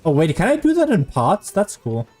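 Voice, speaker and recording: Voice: medium pitch, wildly swooping pitch, normal speed, a little mellow. A streamer giving casual, playful live commentary while playing a video game, reacting to what happens. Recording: faint game music behind.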